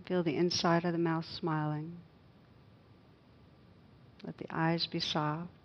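A woman meditation teacher leading a guided loving-kindness meditation in a gentle, hushed, unhurried manner with long pauses. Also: on the low side, little pitch movement, lo-fi sound.

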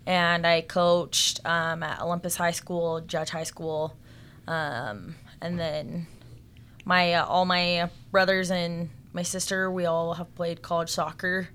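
A woman's voice: lowish voice, fairly even intonation, slow, a bit bright, slight background noise. A woman from Salt Lake City, a personal trainer, talking in a friendly, matter-of-fact way as a podcast guest. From Salt Lake City, Utah. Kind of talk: casual interview chat.

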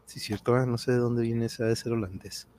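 A man with a Mexican accent hosts a livestream in casual chat. His delivery is jovial and breaks easily into chuckles, with a middling pitch. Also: fairly even intonation, fairly quick, mellow. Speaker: livestream host.

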